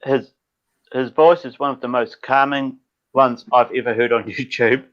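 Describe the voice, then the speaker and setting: Halting, stammering man on a call. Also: mid-range voice, flat delivery, lo-fi sound. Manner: halting, with many stammered restarts. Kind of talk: casual live stream chat.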